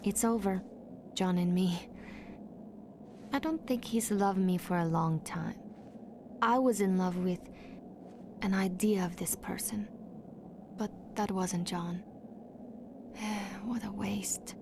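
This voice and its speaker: A woman's voice: medium pitch, slow, pauses often. An actress playing a heartbroken, wistful woman clinging to a failing love in a video game drama. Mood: wistful, resigned. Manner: wistful, emotional, sighing. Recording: clear background ambient noise.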